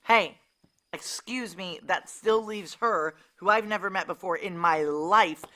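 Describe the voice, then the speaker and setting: A woman's voice: on the low side, unhurried, pushed and bright. An actress playing a tough, suspicious woman on the run in an audio drama, blunt, sarcastic and profane. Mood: angry, sarcastic. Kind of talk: naturalistic audio drama dialogue.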